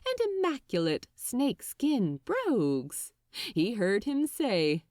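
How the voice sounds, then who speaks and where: Audiobook narrator, woman: expressive scripted fiction read, slightly slow, recorded close and dry. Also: a little high, dry recording.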